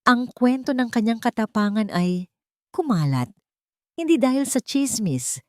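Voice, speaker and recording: A woman narrating a scripted dramatic story in a sentimental, measured storytelling manner. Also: fairly high pitch, big pitch swings, darkish tone, dry recording, clean studio sound.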